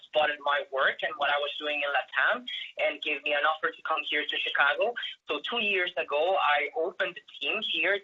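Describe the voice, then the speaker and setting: A man's voice: on the high side, pushed and bright, slightly rough. A man from Guatemala giving an earnest, thankful webinar presentation, often restarting and repeating words. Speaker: agency partner presenting in a webinar. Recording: muffled lo-fi audio.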